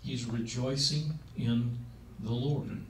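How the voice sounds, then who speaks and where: A man's voice: medium pitch, fairly even intonation, slow. A seventy-year-old man preaches on aging with emphatic, conversational delivery and frequent rhetorical questions, talking into a handheld mic. His voice is recorded somewhat distant from the mic in a roomy meeting hall. A faint background hiss runs underneath. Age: 70s. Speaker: speaker on aging. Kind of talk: sermon-style talk. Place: meeting room.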